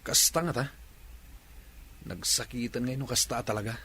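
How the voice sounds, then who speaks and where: A man's voice: mid-range voice, normal speed, pushed and bright, a little hiss or hum, lightly acted. A man playing a dejected young boyfriend confiding his troubles in a radio drama. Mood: troubled.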